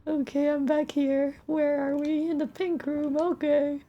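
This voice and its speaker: Slow-talking woman streamer with creaky voice. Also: sits high, little pitch movement, dull audio. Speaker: livestreamer. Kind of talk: casual game livestream commentary.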